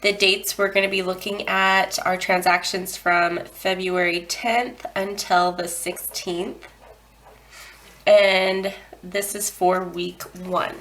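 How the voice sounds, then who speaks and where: A woman hosting a budgeting walkthrough, stuffy and nasal from a head cold, talking in an unhurried way with frequent ums and throat clearing. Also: medium pitch, flat delivery, a bit bright, low-quality recording. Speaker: budgeting channel host.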